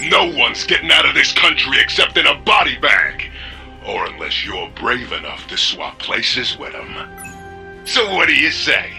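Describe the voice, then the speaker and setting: An actor playing a brutal mercenary supervillain, sneering and goading with a sharp, gravelly voice in a video game. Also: male voice, mid-range voice, very animated, unhurried, over music. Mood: menacing, mocking. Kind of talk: video game cutscene acting.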